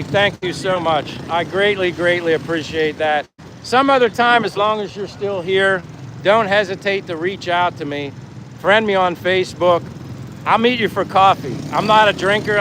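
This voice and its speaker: A man chatting casually on a walking livestream, reading out viewer comments and thanking people in a friendly, effusive way. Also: high-pitched. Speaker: livestream host. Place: outdoors on the move, near traffic. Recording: clear outdoor traffic and engine noise.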